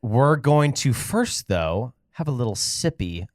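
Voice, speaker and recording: A man's voice: medium pitch, very animated, a little slow. A man co-hosting a podcast in casual, laid-back and playful chat. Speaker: podcast co-host.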